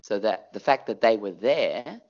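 A man's voice: fairly low pitch, expressive, a little slow, a little mellow, highs cut off. A middle-aged Australian man, a performer, talking reflectively in an interview and stretching words for emphasis.

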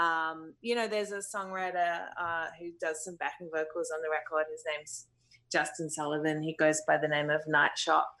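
Low-voiced woman from New Zealand, musing. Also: a bit bright. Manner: thoughtful, hesitant, many fillers. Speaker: musician. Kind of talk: interview answers.

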